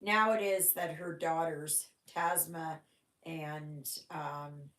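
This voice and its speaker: A woman's voice: low voice, steady tone, takes it slowly, fairly bright tone, reverberant. A Canadian woman whose first language was German, hosting a casual stitching livestream and chatting in a rambling way full of "oh my gosh".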